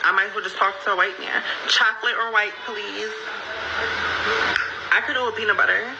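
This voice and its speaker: A dull, lo-fi recording with clear noise carries a woman's voice at a middling pitch. She rants casually, emphatic and repetitive, as in a social media clip. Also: steady tone, fairly quick. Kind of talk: casual opinionated rant. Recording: muffled, noisy lo-fi clip playback.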